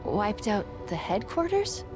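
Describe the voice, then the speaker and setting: A woman's voice: medium pitch, sing-song, measured pace, over music. An actress voicing an earnest, anxious female AI companion in a video game, with a wondering, hesitant way of questioning. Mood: shocked, worried. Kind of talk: dramatic video game dialogue.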